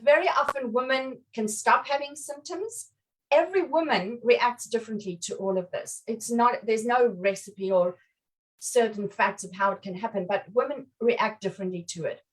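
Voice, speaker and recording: A woman's voice: on the high side, moderate pace. A middle-aged woman with a South African accent touched by Australian, giving an informal explanatory talk as a guest. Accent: South African with a slight Australian influence. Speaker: guest speaker. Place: online live video call. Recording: distant mic on online call.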